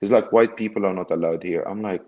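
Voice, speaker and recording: A man's voice: low-pitched, quick pace, a little mellow, dull audio. A middle-aged man from Egypt, telling his story as an interview guest in an emphatic way that repeats phrases and checks in with "okay?".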